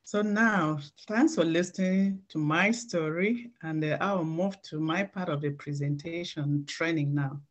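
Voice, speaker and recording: A middle-aged woman giving an earnest, halting personal testimony before a training presentation. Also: low voice, dull audio. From Cameroon.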